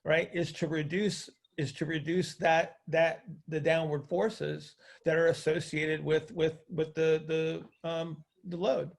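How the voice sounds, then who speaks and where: Guy coaching on a conference call, slightly high voice w lots of fry, explains stuff and keeps asking if you get it. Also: little pitch movement, measured pace. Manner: didactic, checks understanding with questions, repeats phrases for emphasis. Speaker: coach hosting a group call, teaching.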